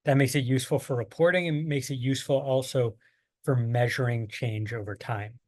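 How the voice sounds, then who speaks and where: A man's voice: mid-range voice, steady tone, fairly quick, dry recording, studio quality. Man giving a patient survey-design lesson. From United States. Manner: clear, patient explaining with plain examples. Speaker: workshop presenter. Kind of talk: online training lecture.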